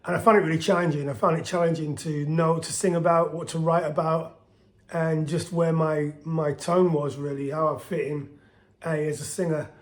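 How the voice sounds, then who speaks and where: A middle-aged man with a soft voice, casually recalling his band's history in a chatty, rambling way full of restarts and fillers. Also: fairly high pitch, little pitch movement, moderate pace. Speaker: band singer telling its history. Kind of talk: casual spoken storytelling to camera.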